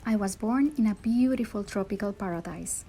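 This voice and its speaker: Earnest Puerto Rican woman, mellow voice. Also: medium pitch, moderate pace, a little hiss or hum, poor audio. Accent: Puerto Rican Spanish. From Puerto Rico. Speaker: speaker. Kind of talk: personal story talk.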